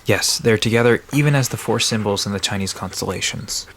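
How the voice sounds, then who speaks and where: Low-voiced, dry, guarded park caretaker, played by a man. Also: brisk, fairly bright tone, slight background noise. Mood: dry, explanatory. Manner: dry, matter-of-fact, guarded. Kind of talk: audio drama dialogue, explaining mythology.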